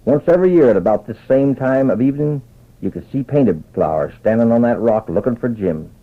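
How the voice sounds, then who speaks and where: A man's voice: medium pitch, animated, natural voice. A man voicing a grave old western trail hand with folksy, serious speech in a radio story. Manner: folksy, dead serious, slow drawn-out words. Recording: tinny band-limited old radio recording.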